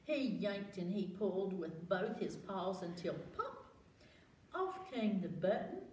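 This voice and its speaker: Female children's storytime reader, very expressive and unhurried, engaging listeners, recorded at a distance in a roomy space. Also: fairly low pitch. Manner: animated, asks listeners questions, exclaims. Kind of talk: read-aloud picture-book storytelling to children. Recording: roomy, distant mic, faint background noise.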